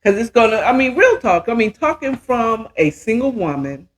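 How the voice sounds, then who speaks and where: A woman's voice: on the high side, very animated, normal speed. A woman in her fifties telling a story casually as a podcast host, chatty and emphatic, often checking in with the listener. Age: 50s.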